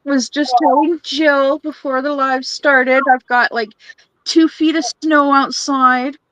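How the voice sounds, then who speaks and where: A high-voiced woman chats slowly and easily as she hosts a crafting live stream. She giggles between phrases and rambles with plenty of fillers, and the sound is close and dry. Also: steady tone, fairly bright tone, no room sound, low-quality recording. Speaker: livestream host. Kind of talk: casual live-stream chat while crafting.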